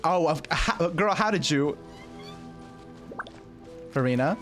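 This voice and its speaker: A streamer with a medium-pitched voice reacts casually to a video game. The talk is slangy and gushing, full of repeated phrases, with game music behind it. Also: animated, slow pace. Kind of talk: casual playthrough reaction commentary.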